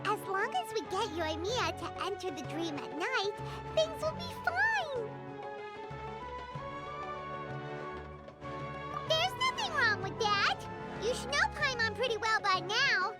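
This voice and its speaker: Squeaky, extremely high-pitched tiny fairy girl. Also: animated, unhurried. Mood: delighted. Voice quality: squeaky, childlike. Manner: exclamatory, speaks of self in third person. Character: tiny floating fairy companion girl, bubbly, food-loving, excitable. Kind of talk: animated video game voice acting. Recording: game music playing behind. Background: loud playful game music.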